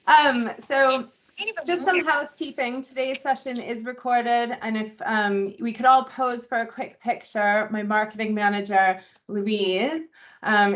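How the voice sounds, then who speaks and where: A woman's voice: medium pitch, fairly bright tone. A middle-aged woman from Boston with a Boston accent, giving a friendly, conversational induction presentation over a video call and using plenty of ums. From Boston, USA. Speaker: membership engagement manager presenting a webinar. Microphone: video call microphone.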